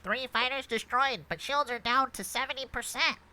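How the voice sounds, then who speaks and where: Woman voicing a very bright, polite ship droid. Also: high voice, moderate pace. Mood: matter-of-fact. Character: polite, matter-of-fact starship droid copilot. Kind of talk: amateur remote table read.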